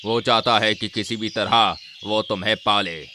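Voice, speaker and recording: A man voicing a calm, grave holy man with a measured, authoritative manner in a cartoon horror story. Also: fairly low pitch, very noisy, close to an everyday voice. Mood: grave, explaining.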